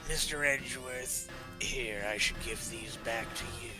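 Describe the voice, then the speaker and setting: A man's voice: medium pitch, a little slow, close to an everyday voice. A man in a game fan dub playing a weary, solemn older detective ready to retire. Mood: solemn, apologetic. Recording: music clearly behind the voice.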